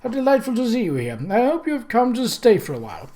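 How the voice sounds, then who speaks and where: A man voicing a suave, wealthy older gentleman who is courtly, effusive and wryly amused in an audiobook. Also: very high-pitched, wildly swooping pitch, fast, voice pushed up, exaggerated voice.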